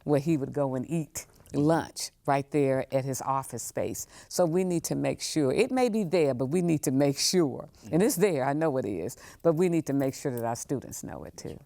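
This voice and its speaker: A woman's voice: rumbling low, animated, normal speed, gravelly, hardly any pauses, mellow, no echo. A woman speaks reflectively as an interview guest, repeating phrases for emphasis. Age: 60s. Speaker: museum professional, podcast guest.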